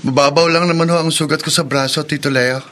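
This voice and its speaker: A man's voice: a little high, steady tone, fast talker. A man playing a young boyfriend in a radio horror drama, with melodramatic delivery. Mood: reassuring. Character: young boyfriend attacked by a monster monkey. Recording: loud dramatic music behind.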